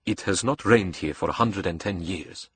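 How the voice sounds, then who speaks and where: A man voicing a calm, controlling villain in an audiobook, soft-spoken and formal with an edge. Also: low-pitched, normal speed, slightly rough, heavily acted. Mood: cool, measured. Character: cold, calm, controlling male villain mastermind. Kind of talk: audiobook character dialogue.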